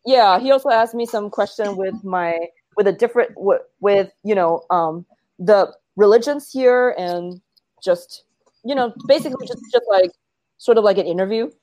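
A woman's voice: medium pitch, moderate pace, darkish tone, no room sound. A young woman from Malaysia talks casually over a video call. She hesitates often and keeps restarting her sentences with fillers as she explains things. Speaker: guest.

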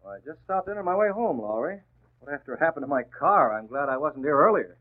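Dry, composed newspaper chief, understated wording with lively intonation, 1940s radio drama, tinny vintage sound. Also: male voice, fairly high pitch, fairly quick. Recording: tinny, band-limited old radio broadcast.

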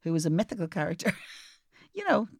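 Irish female podcast co-host, slightly low register, casual comic storyteller, dry close sound. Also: big pitch swings, moderate pace, vocal fry, no echo. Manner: chatty anecdotal storytelling.

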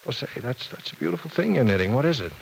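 An actor in a vintage radio drama playing an earnest, awkward professor, polite and formal but hesitant. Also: male voice, medium pitch, big pitch swings, fast talker, creaky. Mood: courteous, diffident. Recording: noisy old radio show recording.

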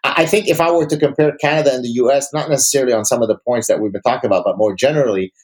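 A man's voice: medium pitch, racing, a little mellow. A man from Lebanon whose first language is Arabic, giving emphatic, articulate opinions in formal vocabulary as an academic interview guest.

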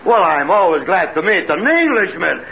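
High, creaky-voiced zany stooge. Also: male voice, sing-song, normal speed, exaggerated voice. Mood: mock-innocent. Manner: clownish, mock-innocent. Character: zany, cheeky male tavern helper, comic stooge. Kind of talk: 1940s-50s radio comedy acting. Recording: dull, noisy vintage radio.

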